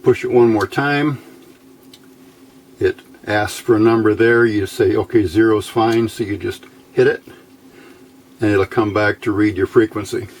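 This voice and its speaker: A man's voice: fairly low pitch, steady tone, unhurried, long pauses, slight background noise. A man giving a casual hands-on demonstration as an electronics hobbyist, talking in a folksy, informal, rambling way.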